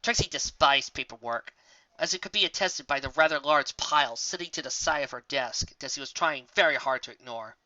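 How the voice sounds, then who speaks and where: A reader narrating a scripted fantasy story in a theatrical, expressive way. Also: medium pitch, a little fast, no room sound, highs cut off. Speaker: audiobook reader. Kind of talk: scripted narration of fan fiction story, dramatic.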